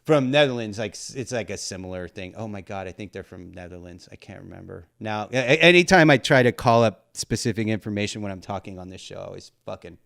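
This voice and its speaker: A man's voice: lowish voice, fast talker, gravelly, few pauses, a bit bright. A man hosting a podcast in casual chat, rambling with lots of fillers and the odd swear word. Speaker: podcast host.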